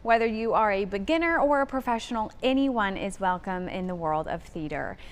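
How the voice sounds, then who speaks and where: A woman's voice: mid-range voice, expressive, fairly quick. A woman hosting a live local television interview segment with polished broadcast delivery. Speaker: TV morning show host.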